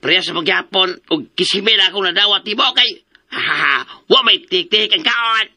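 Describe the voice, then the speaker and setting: A man's voice: high-pitched, normal speed, sharp tone, full character voice. A man acting a cheeky, wheedling underling in a comedic radio drama, hesitant and drawing out his pleas.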